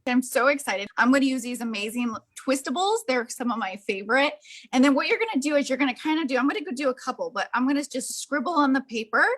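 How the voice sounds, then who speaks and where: A woman's voice: high-pitched, lively intonation, quick pace, a bit bright. An enthusiastic, gushing woman giving a casual, hands-on art demonstration.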